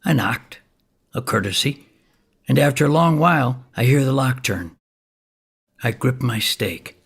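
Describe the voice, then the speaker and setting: An actor playing a folksy, excitable neighbor and amateur vampire hunter, telling a comic story conversationally and acting out the dialogue. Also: male voice, medium pitch, measured pace, husky. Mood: wry, suspenseful. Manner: animated, conversational, acts out dialogue. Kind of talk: comic first-person storytelling monologue.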